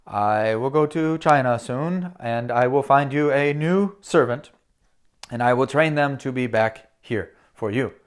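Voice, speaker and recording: A man voicing a humble, devoted Chinese male butler who speaks slowly and softly in broken, formal phrases. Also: medium pitch, pitched below the performer's own voice, close to an everyday voice.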